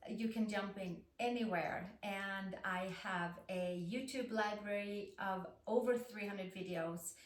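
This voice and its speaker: A woman with a Swedish accent gently guiding a yoga class, calm and soothing as she talks students through breathing. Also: mid-range voice, measured pace, few pauses. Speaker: yoga instructor. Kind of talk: guided yoga class instruction. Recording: roomy echo, distant mic.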